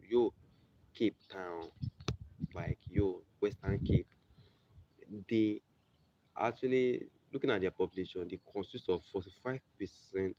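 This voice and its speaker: A young Nigerian man talks in a very low, gravelly voice, rambling and circling back on himself in a casual explainer. The sound is muffled and lo-fi, with steady noise underneath. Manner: rambling, repeats and restarts, conversational asides. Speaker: video presenter. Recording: muffled lo-fi recording with background noise.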